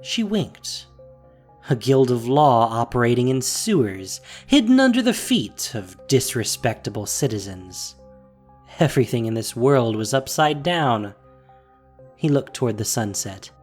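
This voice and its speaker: Very deep-voiced, expressive woman narrator. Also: unhurried, faint music behind. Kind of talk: expressive scripted fantasy narration.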